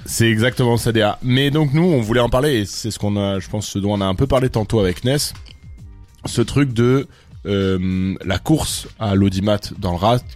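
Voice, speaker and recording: A man co-hosting a casual rap debate, speaking in a measured way with frequent hesitations. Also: on the low side, darkish tone, soft background music. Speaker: co-host.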